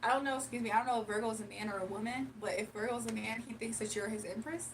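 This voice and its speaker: A young woman with a fairly high voice chats loosely with her live viewers and laughs easily. She sits a little away from the mic, and faint room noise runs under her voice. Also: fairly even intonation, racing, distant mic. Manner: chatty, laughs often, reads chat aloud. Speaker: livestream tarot reader. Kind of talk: casual live chat and tarot reading.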